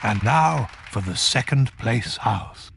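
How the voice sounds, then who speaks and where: Slow, gravelly old wizard headmaster. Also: male voice, mid-range voice, animated, over a noisy crowd, very noisy. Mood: solemn, ceremonial. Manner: measured, formal. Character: elderly, solemn wizard headmaster addressing his school. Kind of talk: ceremonial speech in a video game.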